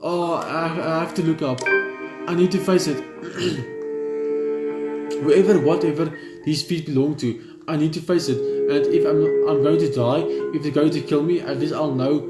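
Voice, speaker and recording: Young male let's player, halting narration, echoey room, clear background music. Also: on the high side, fairly even intonation. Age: young adult. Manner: halting read-aloud, stumbling over words. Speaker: gaming video host. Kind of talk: let's play, reading visual novel text aloud. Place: indoor room at home. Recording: roomy echo under game music.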